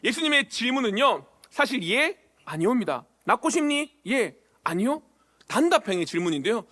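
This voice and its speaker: A high-voiced man gives a sermon to his church, addressing the people directly with question after question. He slips into little acted quotes to bring scenes to life. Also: pushed and bright. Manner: asks the congregation questions, acts out short quoted lines. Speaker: pastor. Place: church sanctuary.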